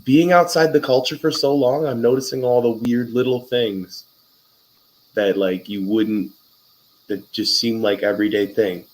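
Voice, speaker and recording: A man's voice: medium pitch, fairly even intonation, measured pace, rough recording. A man hosting an interview, chatty and joking, telling loose profane stories in a casual conversation. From Wisconsin. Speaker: interviewer, podcast host.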